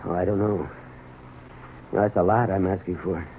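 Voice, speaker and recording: Actor as a strained, low-voiced garage owner, tinny radio. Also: male voice, steady tone, lightly acted. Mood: anxious, desperate. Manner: halting, stammering under strain. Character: desperate, bitter married garage owner, wounded but decent. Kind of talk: radio drama acting. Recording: tinny old radio broadcast, hiss.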